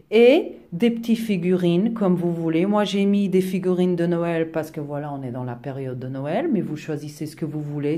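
A woman's voice: lowish voice, dark tone. A woman whose first language is Portuguese, talking through a home decor tutorial in a friendly way, hesitating often with fillers. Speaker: DIY decor vlogger. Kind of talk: tutorial voice-over.

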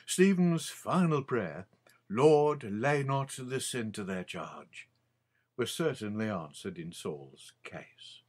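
An older man reading his own scripted Bible commentary in a measured, deliberate manner with frequent pauses. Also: medium pitch, expressive.

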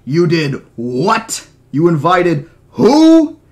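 A man voicing a high-strung, confrontational male lawyer in an audiobook reading, loud and demanding. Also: lively intonation, brisk, close to an everyday voice. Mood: furious screaming.

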